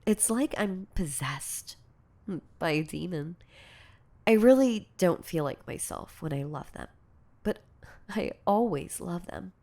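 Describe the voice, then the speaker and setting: Woman acting a hesitant, slow-talking yandere girlfriend. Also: lowish voice, lively intonation, gravelly, exaggerated voice. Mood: tender, devoted. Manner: hesitant, stammering, soft-spoken confessing. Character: shy, insecure, lovestruck yandere girlfriend confessing obsessive devotion. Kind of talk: intimate ASMR audio roleplay.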